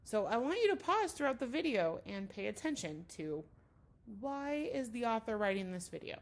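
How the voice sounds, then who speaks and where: A woman teacher reading a storybook aloud to children in an enthusiastic, expressive way, speaking directly to her young listeners with questions and explanations. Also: fairly high pitch, moderate pace.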